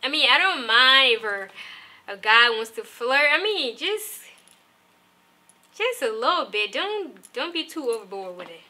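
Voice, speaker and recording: Woman, talk show guest, somewhat high voice, bright tone, candid, relaxed. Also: unhurried. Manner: candid, relaxed, gives short agreeing replies. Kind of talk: casual talk show interview.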